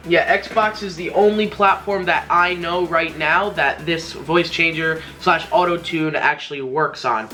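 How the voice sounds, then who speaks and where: Bright-voiced young man, rambling. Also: on the high side. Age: young adult. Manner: rambling, repeats and rephrases himself. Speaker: YouTuber, tutorial host. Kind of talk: casual tutorial talk to camera. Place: small bedroom gaming setup, indoors. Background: background music.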